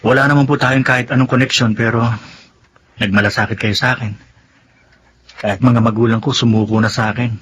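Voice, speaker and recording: An actor playing a humble, reformed young Filipino godson in a radio drama, respectful and hesitant. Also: male voice, mid-range voice, fairly even intonation, brisk, long pauses, a bit bright, a little hiss or hum, natural voice. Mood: contrite, grateful.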